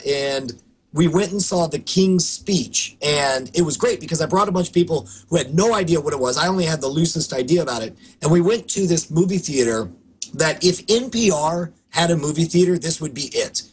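A man in his thirties with a hoarse voice, co-hosting a casual podcast over a video call and telling stories in an animated, restarting way. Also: fairly high pitch, poor audio. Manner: animated, quick storytelling with restarts. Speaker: co-host. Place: home studio room.

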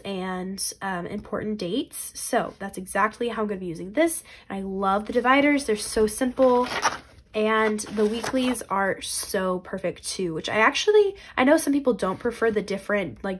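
A young woman, congested from a cold, chatting in a bubbly, casual way while showing her planners. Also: medium pitch. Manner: bubbly, chatty, many fillers. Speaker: planner vlogger. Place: indoors at a desk. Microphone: camera overhead, hands only seen.

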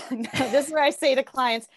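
A woman's voice: sits high, fairly even intonation, normal speed, no room sound. A woman co-hosting a podcast as a health coach, speaking in casual conversation.

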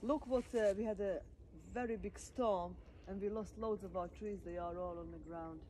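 A woman's voice: medium pitch, normal speed, warm tone, a little hiss or hum. Chatty woman touring her garden outdoors. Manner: chatty, pointing things out as she walks. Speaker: garden vlogger. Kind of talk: casual garden tour walkthrough.

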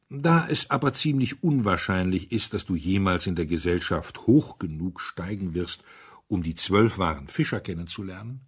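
Male audiobook narrator, low husky voice, measured and dryly ironic. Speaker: audiobook reader. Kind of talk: scripted literary narration.

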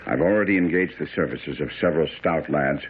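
An actor playing a self-assured, brilliant detective in a radio drama, speaking with precise, theatrical authority. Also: male voice, very deep voice, a little fast. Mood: assured, plotting. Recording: tinny, faintly noisy old radio broadcast.

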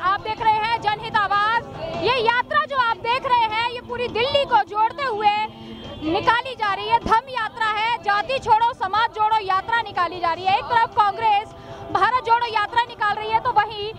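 A young woman news reporter speaking into a handheld mic on a busy city street, briskly prompting people with questions. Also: very high voice, fairly even intonation, music in the background. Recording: loud crowd noise outdoors.

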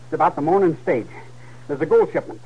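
An actor playing a defiant, earnest teenage frontier son with a rural American Western drawl in a 1950s radio western. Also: male voice, on the high side, fast, dull audio, a little hiss or hum, close to an everyday voice. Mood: earnest, uneasy. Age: young adult. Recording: old 1950s radio recording.

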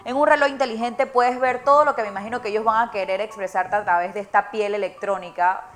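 A woman's voice: medium pitch, steady tone, fast talker, a little hiss or hum. A woman co-presenting in a TV news studio, chatting casually and expressively with fillers. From Panama. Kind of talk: studio banter.

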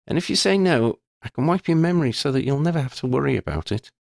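A man's voice: medium pitch, animated, fast, vocal fry, a little mellow, dry recording, studio quality, pitched above the performer's own voice. A man reading aloud and voicing a frank, protective teenage schoolgirl who speaks earnestly and reassuringly. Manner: raised put-on voice, earnest and reassuring.

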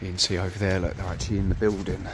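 Deep-voiced man exploring. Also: level tone, rapid-fire, noisy background. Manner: chatty, easily impressed, matey. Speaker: urban explorer host. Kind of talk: casual exploring commentary. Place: dark abandoned old house, indoors and out.

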